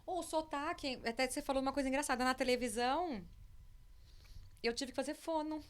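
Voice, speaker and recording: A woman's voice: sits high, fairly quick. A woman in her forties with a São Paulo countryside Brazilian accent, a guest talking freely on a podcast and often breaking off mid-sentence. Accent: São Paulo countryside Brazilian Portuguese. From interior of São Paulo state, Brazil. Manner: chatty, animated, breaks off and restarts. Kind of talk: casual podcast chat. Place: farm in the countryside.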